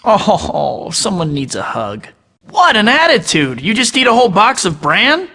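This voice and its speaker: A man's voice: high-pitched, wildly swooping pitch, measured pace, bright, forceful tone, in a large hall, full character voice. An actor voicing a young male superhero who tosses out sarcastic taunts in a video game. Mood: mocking. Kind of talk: game voice clips.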